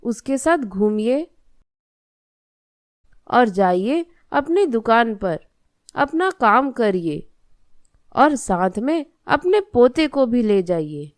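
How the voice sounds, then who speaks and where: A woman voicing a gentle, practical grandmother in a cartoon moral story, speaking in a measured, placating way. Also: on the high side, sing-song, no echo, studio quality. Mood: soothing. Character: gentle, practical grandmother soothing her husband.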